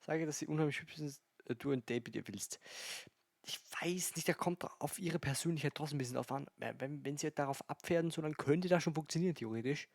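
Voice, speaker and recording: A man's voice: a little high, fast talker, vocal fry, dry recording, clean studio sound. A man making casual, mocking commentary while playing a video game. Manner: mocking, chatty. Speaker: let's player.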